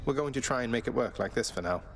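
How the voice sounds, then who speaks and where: An actor playing an earnest young prince of the underworld in a video game, polite, understated and lightly wry. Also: male voice, mid-range voice, animated, fast, vocal fry, a bit bright. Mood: wry, content. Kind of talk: video game character dialogue. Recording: faint music behind the voice.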